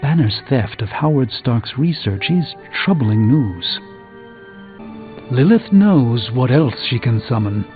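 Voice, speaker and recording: A grave, learned sorcerer of middle age in a video game. He speaks formally and with measured foreboding at a medium pitch. The sound is thin and phone-like, with soft music behind. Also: lively intonation. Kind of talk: scripted video game dialogue.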